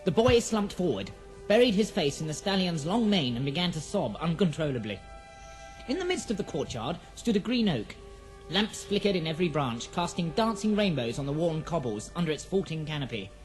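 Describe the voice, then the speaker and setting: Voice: medium pitch, sing-song, fairly quick, faint music behind. A narrator reading a scripted fantasy audio drama in a poetic, dramatic storybook manner.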